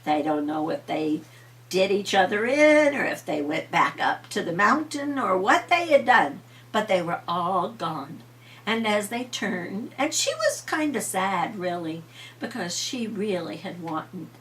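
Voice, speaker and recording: A woman's voice: mid-range voice, sing-song, measured pace, a bit bright, far from the mic, a little hiss or hum. An older woman, a grandmother, telling bedtime stories in a homely, dramatic way. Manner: homely, dramatic, repeats refrains with emphasis. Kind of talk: animated storytelling for children.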